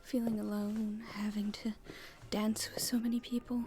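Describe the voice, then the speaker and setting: A woman playing a nervous, polite young princess in an audio roleplay, speaking in a genteel, breathy way. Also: medium pitch, flat delivery, normal speed, dark tone, faint music behind, lightly acted. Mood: grateful, relieved. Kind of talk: roleplay ASMR acting.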